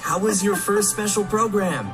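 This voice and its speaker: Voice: mid-range voice, normal speed. A presenter giving upbeat, chummy scripted patter on a game livestream program. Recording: music playing behind the voice.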